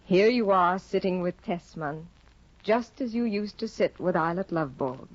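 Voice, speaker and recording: An actress playing Hedda, a bored, cold aristocratic young wife, speaking slowly and mockingly in a 1950 radio drama. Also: female voice, on the low side, animated. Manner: slow, icy, mocking. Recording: dull lo-fi 1950 radio broadcast.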